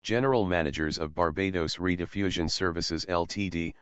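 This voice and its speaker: A man narrates from a script in a low, clean voice. His delivery is that of a read historical account. The recording is dry and somewhat muffled. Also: brisk, fairly bright tone, smooth, no echo. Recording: dry but dull, lo-fi sound.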